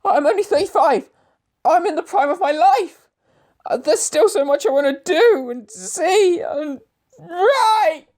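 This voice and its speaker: A woman's voice: very high-pitched, expressive, unhurried, a little mellow. A woman playing a flustered, panicky writer in his mid-thirties who has just been murdered, in an amateur radio drama. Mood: panicked. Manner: flustered, exasperated, demanding answers.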